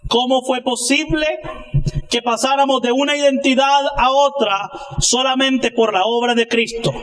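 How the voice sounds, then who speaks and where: A man's voice: very high voice, fairly even intonation, measured pace, a bit bright. A man delivers a sermon as a pastor. He speaks emphatically, building his points through rhetorical questions and answers addressed to his congregation.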